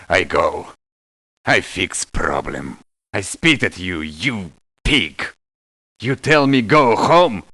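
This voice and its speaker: Nasty greedy merc in a game, lowish voice, slow blunt broken english, very dry sound. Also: male voice, very animated, pushed and bright, no room sound, heavily acted. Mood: contemptuous, angry. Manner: broken English, blunt short phrases, contemptuous. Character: hostile greedy mercenary man, spitting and insulting. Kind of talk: video game character voice lines.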